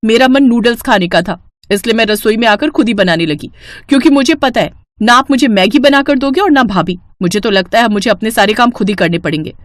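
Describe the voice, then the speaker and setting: A woman plays a sulky college-age sister-in-law in a cartoon tale. The voice is high, with big pitch swings. She whines defiantly, jealous of attention given to others. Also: expressive, fairly quick, dry recording, clean studio sound, lightly acted. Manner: whiny, defiant complaining.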